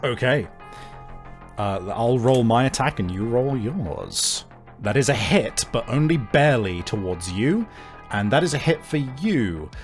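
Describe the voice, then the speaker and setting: A man's voice: mid-range voice, big pitch swings, unhurried, soft background music. A man narrating a tabletop game as game master, casually improvising vivid descriptions and swearing now and then.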